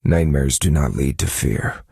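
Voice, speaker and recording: A brooding detective-novelist in a mystery game speaks in an extremely low, husky voice. He is analytical and measured, murmuring thoughtfully to himself. The sound is dry and close, with a gravelly rasp. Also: warm tone, no echo, heavily acted. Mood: grave, reflective. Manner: measured, contemplative, musing to himself with murmured hums. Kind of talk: scripted game character monologue.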